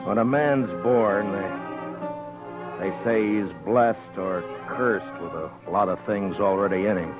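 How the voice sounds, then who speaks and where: A steady frontier marshal narrates in a very deep, measured, low-key voice, played by a man. Music plays clearly behind him in the old radio broadcast. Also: muffled recording, close to an everyday voice. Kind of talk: 1950s radio drama narration.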